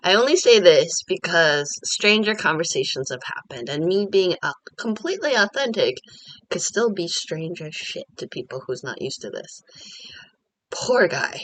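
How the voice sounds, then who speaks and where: A woman's voice: fairly low pitch, very animated, normal speed, fairly bright tone, no echo, poor audio. Woman vlogger, casual confessional ramble, self-deprecating, dry room. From Korean American.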